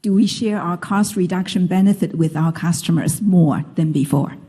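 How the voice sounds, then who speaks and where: A woman's voice: lowish voice, normal speed, dark tone, slightly rough. A woman moderating an earnings call, handing over and clarifying questions.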